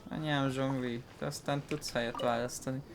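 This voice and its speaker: Casual male gamer over clear game music. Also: medium pitch, little pitch movement, a little fast. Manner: informal, grumbling, swearing. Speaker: gaming video host. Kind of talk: casual gameplay commentary.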